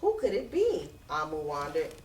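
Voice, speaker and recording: A woman reading a children's story aloud in an expressive, dramatic way, drawing out words for effect. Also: low-pitched, normal speed, a little hiss or hum. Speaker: picture book reader. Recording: roomy echo, distant from microphone.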